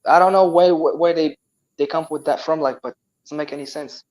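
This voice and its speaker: A young man with a Moroccan accent and Tamazight as his first language talks in a casual chat. He is animated and often restarts and repeats words. His voice sits a bit high for a man. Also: a little fast. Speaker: guest.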